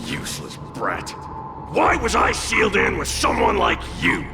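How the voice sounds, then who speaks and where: Brash, wildly swinging fiery spirit. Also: male voice, high voice, huge pitch swings, unhurried, creaky, full character voice. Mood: exasperated. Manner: brash, shouting, indignant. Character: boastful, hot-tempered fiery spirit sealed inside a young judge. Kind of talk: video game voice acting. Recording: loud music behind the voice.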